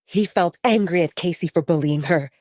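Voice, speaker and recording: A woman's voice: lowish voice, moderate pace, darkish tone, no echo, clean studio sound. A woman reading a dramatic fiction story aloud as a scripted audiobook narration.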